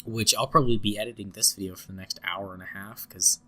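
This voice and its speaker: A man's voice: fairly low pitch, steady tone, fast talker, sharp tone. A young man hosting a casual podcast, sounding congested and a little scratchy from a cold, rambling with lots of fillers and restarts. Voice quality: slightly scratchy, nasal. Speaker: podcast host.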